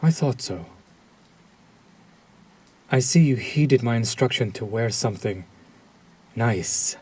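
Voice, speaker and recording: A man's voice: mid-range voice, expressive, darkish tone, breathy edge. A man playing a suave, possessive vampire lord in a roleplay audio drama, speaking to the listener in a courtly, seductive way. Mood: courtly, admiring. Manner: courtly, seductive, slow and deliberate.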